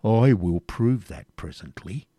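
A burly medieval friar from a storybook, acted out by a male narrator, blustering and spoiling for a fight. His speech is archaic and bombastic, in a fairly low voice recorded close and dry. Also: lively intonation, measured pace, mellow, slightly rough, dry recording. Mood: defiant.

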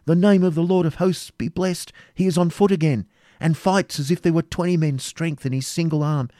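A man's voice: fairly high pitch, normal speed, warm tone, no echo, clean studio sound, pitched above the performer's own voice, close to an everyday voice. A man voicing an anguished, pious young woman in a dramatic storybook reading, calling out a battle in breathless, urgent exclamations. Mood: frantic. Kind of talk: dramatic audiobook character reading.

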